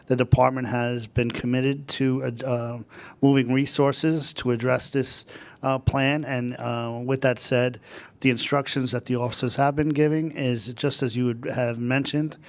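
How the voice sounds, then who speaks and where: Halting police chief with gravelly voice. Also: male voice, mid-range voice, flat delivery. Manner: halting, many fillers and restarts. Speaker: police chief reporting to a transit board. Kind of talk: formal report at a public meeting. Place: boardroom meeting.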